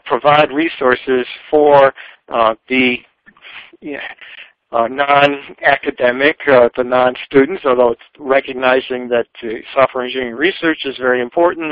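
An older man talks informally as a webinar speaker. He goes haltingly, digressing as he goes, at a middling pitch. The audio is dry but dull and lo-fi. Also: dry recording. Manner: halting, digressive, trailing asides. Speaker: speaker at a professional society webinar.

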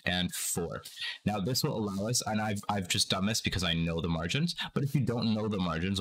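A young man speaks in a low register that sounds a little groggy, as if he is slightly sick. He hosts a casual screen-recorded tutorial, talking through each step informally. He is seated at his computer with a headset. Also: a bit bright. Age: young adult. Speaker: tutorial host.

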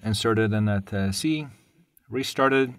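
A man with a low voice and a dry, close sound walks through a software tutorial. He speaks as a technical presenter in a live webinar, pausing often in the middle of his sentences as he explains each step. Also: smooth, dry recording. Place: voice-over on a screen-share webinar.

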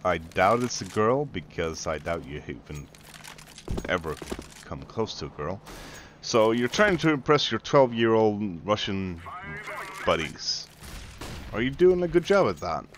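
Deep, slow gaming host. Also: male voice, big pitch swings, a little hiss or hum. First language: Swedish. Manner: mocking, taunting, casual swearing. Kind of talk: sarcastic gameplay commentary. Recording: game gunfire under voice.

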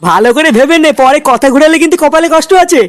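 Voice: sits high, fast talker, no room sound, natural voice. A performer voicing an irritable, sarcastic village man in a comic cartoon, brusque and grumbling. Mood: sceptical. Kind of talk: comic cartoon dialogue in colloquial Bengali.

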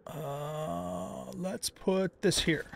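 Middle-aged man, somewhat high pitch, casual game commentary, conversational, thinking aloud, slight background noise. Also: very animated, drawn-out words, gravelly. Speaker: gaming video host.

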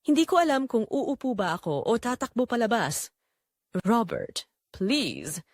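A woman's voice: a little high, very animated, a little fast, dry recording, clean studio sound. A woman storyteller reading a scripted first-person romance drama in a dramatic narrating style.

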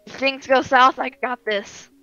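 A woman playing a bossy, excitable animatronic in an improvised game roleplay, chattering and stumbling over her words. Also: high-pitched, unhurried, faint music behind, full character voice. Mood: matter-of-fact. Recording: dull lo-fi game voice chat.

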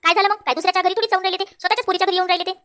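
Casting: woman as nagging cartoon village wife, rural Vidarbha Marathi, very high bright voice, fires off lines. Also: steady tone, very fast. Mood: irritated, scolding. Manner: scolding, nagging. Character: sharp-tongued, bossy village wife in a comedy cartoon. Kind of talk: cartoon comedy voice acting.

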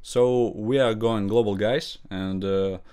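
A young man with a Russian accent, a gaming channel host commenting over his gameplay in halting English, with grammar slips. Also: fairly low pitch, steady tone, mellow, smooth. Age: young adult.

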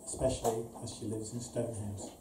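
A man's voice: lowish voice, little pitch movement, a little fast. A middle-aged man hosting an event, reading out a scripted introduction from the stage. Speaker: event organiser and host. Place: small event venue with stage, indoors. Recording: echoey, distant, clear background noise.

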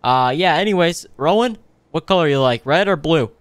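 A man with a fairly high, smooth and clear voice gives casual running commentary over a roleplay gaming video. He is playful and excitable, tossing out exclamations as he goes. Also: normal speed, no echo.

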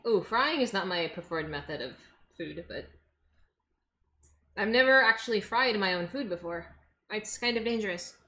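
A woman's voice: mid-range voice, bright, forceful tone. A young woman from Los Angeles chatting casually with her stream while cooking in a home kitchen, answering chat as she chops. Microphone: desk microphone on the counter.

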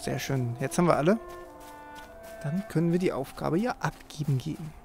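Creaky, higher-voiced man commenting on gameplay. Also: normal speed, a little mellow. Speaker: let's player, gaming host. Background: game music.

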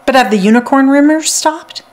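A woman voicing an anxious, caring young girl in a storybook, earnest and soft-spoken. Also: sits high, normal speed, pitched above the performer's own voice, natural voice.

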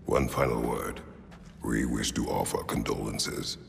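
An actor playing a stern, battle-worn warrior god in a video game, gravelly, terse and solemn. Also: male voice, very deep voice, a little slow, a little hiss or hum, heavily acted. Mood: grave, restrained. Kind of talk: video game dramatic acting. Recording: long cavernous reverb, music underneath.

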